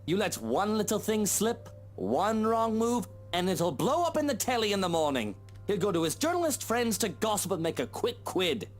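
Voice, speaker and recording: A man's voice: sits high, sing-song, normal speed, faint music behind, pitched above the performer's own voice. A man voicing a drunk, jealous husband with a sneering, patronizing drawl. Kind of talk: voicing a visual novel character.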